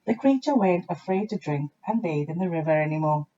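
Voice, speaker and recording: A woman reading a children's storybook aloud with expressive narration, like a teacher to young listeners. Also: fairly low pitch, a little fast, muffled recording, slight background noise.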